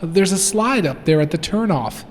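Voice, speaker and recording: A man voicing a gruff, wisecracking bus driver in a school radio play. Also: sits high, lively intonation, moderate pace, in a large hall. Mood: wry. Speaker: teacher acting.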